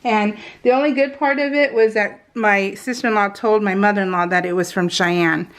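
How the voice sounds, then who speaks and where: A middle-aged American woman telling personal stories in a casual, informal, animated way, slipping into retold dialogue. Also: medium pitch, moderate pace. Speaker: craft video host. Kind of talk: casual chatty storytelling.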